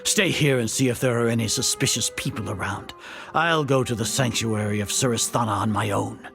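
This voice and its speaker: Man acting a gravelly, imperious old sage villain. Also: medium pitch, a little fast, over music, close to an everyday voice. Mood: commanding. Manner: imperious, theatrical. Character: arrogant, scheming old Grand Sage, male villain. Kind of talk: video game character acting.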